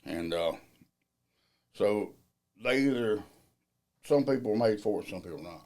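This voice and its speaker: A middle-aged man with a low, deep voice talks about his work in a loud, plainspoken way. His grammar is loose and folksy, and his tone stays mellow and relaxed throughout. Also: a little slow. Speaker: field crew general foreman. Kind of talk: casual interview answers.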